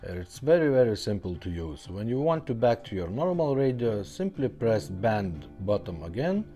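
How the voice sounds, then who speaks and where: A man with a deep, mellow voice presents a casual hands-on demo. His intonation moves around a lot as he gives simple, step-by-step instructions. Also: sing-song, moderate pace, soft background music. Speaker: product demonstrator.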